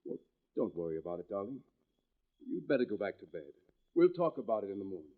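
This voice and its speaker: An actor playing a troubled, secretive husband in a 1940s radio suspense drama, with a halting, evasive delivery. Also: male voice, fairly low pitch, very animated. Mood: concerned. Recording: vintage radio, phone-like narrow band.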